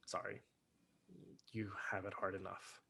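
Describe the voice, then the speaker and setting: A man's voice: deep voice, little pitch movement, measured pace, gravelly, pushed and bright, full character voice. A man acting a brainy, sardonic young son with a dry, wry manner, in a staged play reading on a video call. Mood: subdued.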